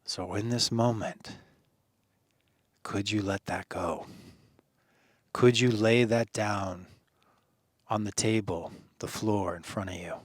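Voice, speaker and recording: A man leading a guided meditation in a soothing, earnest manner, phrasing deliberately with pauses and gentle encouragement. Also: on the low side, steady tone, no echo.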